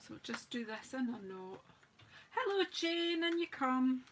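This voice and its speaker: Woman, bright voice, a bit higher, croaky, chatty craft-demo host, rambling asides. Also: big pitch swings, unhurried, a little hiss or hum. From United Kingdom. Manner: chatty, rambling, self-deprecating, talks while working. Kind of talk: live-stream craft demo chat.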